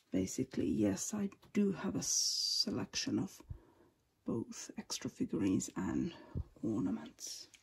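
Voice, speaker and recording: Husky whispery Finnish woman. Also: mid-range voice. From Finland. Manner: soft, often whispery, unhurried, many ums. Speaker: collector giving a tour. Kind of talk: casual narrated collection tour. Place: indoors at display cabinets at home.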